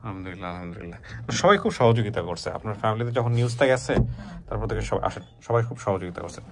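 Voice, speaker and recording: A man's voice: on the low side, expressive, rapid-fire, background noise. A man with a Bangladeshi accent hosting a conversational interview show. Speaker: show host.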